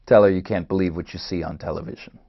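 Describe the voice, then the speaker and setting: A man's voice: low-pitched, fairly even intonation, fast. An actor in a play reading, playing a firm, wary man in an Israeli family, on a dull recording. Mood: terse, wary.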